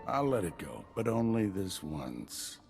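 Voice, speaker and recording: Game mentor: older Jedi master, low, animated, wry and commanding. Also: male voice, a little slow, vocal fry, soft background music, heavily acted. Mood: wry, weary.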